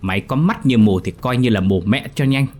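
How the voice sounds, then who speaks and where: A man's voice: fairly low pitch, expressive, fairly quick, soft background music. A man voicing a cruel, hot-tempered old landlord, snarling and bellowing threats. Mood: raging. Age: older.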